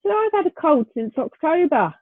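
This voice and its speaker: Tired, bunged-up Northern English woman co-host, higher voice, dry and phone-like. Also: big pitch swings, moderate pace, no room sound. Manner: weary, short replies. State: tired, congested. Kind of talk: casual chat. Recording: dry tinny phone-like line.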